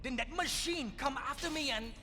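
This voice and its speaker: A man's voice: extremely high pitch, normal speed, in a large hall, music in the background. A man playing a frightened, streetwise young boy in a video game. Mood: defensive, earnest.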